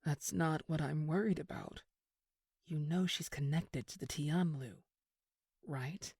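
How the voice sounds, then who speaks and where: A woman's voice: low-pitched, slow, darkish tone, breathy edge, dry recording, clean studio sound, exaggerated voice. An actress playing a sly villainous woman in an audio drama, condescending with feigned sweetness. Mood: insinuating. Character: sly, scheming villainous old flame, woman. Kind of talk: scripted audio drama acting.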